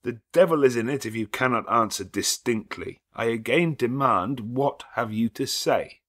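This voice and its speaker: A man voicing a proud, fierce middle-aged gentleman who makes curt, forceful demands. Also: medium pitch, animated, normal speed, pitched above the performer's own voice. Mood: defiant.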